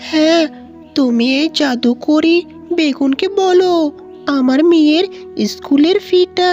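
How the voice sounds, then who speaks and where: Woman playing cartoon fox dad, real high voice, slow, hungry and amazed, exclaims a lot. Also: darkish tone, highs cut off. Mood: amazed, delighted. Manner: exclamatory, drawn-out. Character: hungry, easily amazed fox husband and father. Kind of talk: cartoon voice acting. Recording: music behind the voice.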